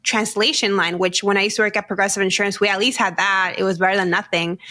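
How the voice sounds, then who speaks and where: A woman's voice: mid-range voice, little pitch movement, fast, sharp tone. A woman from Mexico whose first language is Spanish, talking earnestly as a podcast guest and financial educator. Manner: earnest, explanatory, laughs easily. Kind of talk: casual conversational interview.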